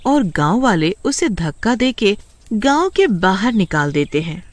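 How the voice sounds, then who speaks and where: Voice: medium pitch, big pitch swings, unhurried, no echo. A narrator reading a scripted horror tale as a storyteller.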